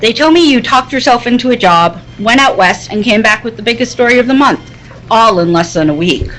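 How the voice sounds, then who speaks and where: A woman playing a wry, teasing, brisk newspaper reporter in old-time radio style. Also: a little high, animated, a bit bright, low-quality recording. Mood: teasing, sardonic. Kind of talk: live old-time radio drama acting.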